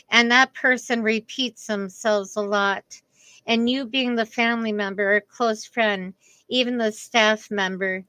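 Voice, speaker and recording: A middle-aged woman speaks very slowly and deliberately, each phrase set apart by a pause. Her voice is bright and a little strained, as if pushing for air. The sound is dry and close, with no room around it. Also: fairly high pitch, clear, resonant tone, no echo, rough recording. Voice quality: voice strained after a vocal cord paralysis. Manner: earnest, deliberate, with careful pauses. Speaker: speaker giving a message on communication. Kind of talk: slow reflective personal talk.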